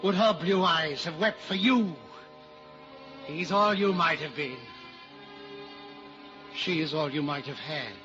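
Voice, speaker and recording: An actor playing a morose, self-loathing English gentleman in an old-time radio drama, with a brooding, halting, theatrical delivery. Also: a little high, music in the background. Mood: bitter outburst. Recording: dull, noisy vintage radio recording.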